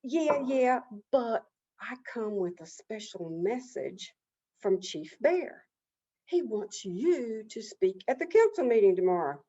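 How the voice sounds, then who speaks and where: A woman playing a sly male rabbit trickster in a folk tale, smooth-talking, folksy and casually reassuring. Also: fairly high pitch, very animated, slow pace, warm tone, highs cut off, full character voice.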